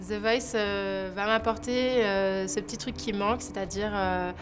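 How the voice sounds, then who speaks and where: A young woman in her twenties, soft-spoken and a little reserved, pausing often as she talks about herself. Her voice sits in a middle range, with music playing behind her. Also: mid-range voice, steady tone. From France. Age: 20s. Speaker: talent show contestant. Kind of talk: interview to camera.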